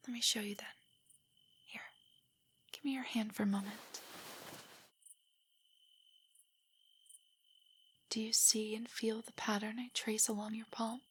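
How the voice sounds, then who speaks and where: A woman playing a nervous, nerdy, affectionate young girlfriend in an intimate audio roleplay, speaking softly and breathily in a hesitant, earnest way. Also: fairly high pitch. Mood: gently guiding. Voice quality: soft, breathy, whispery. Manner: hesitant, rambling, earnestly explanatory.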